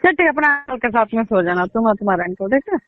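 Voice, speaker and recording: Lady on a phone call, high voice, casual, drops in english words, tinny line. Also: animated, fast, slight background noise. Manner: mixes English words into her talk.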